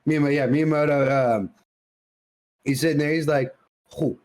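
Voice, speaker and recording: Higher-voiced man, teasing podcast banter. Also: fairly even intonation, moderate pace, darkish tone. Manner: teasing, repeats himself. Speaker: podcast co-host. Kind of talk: casual banter.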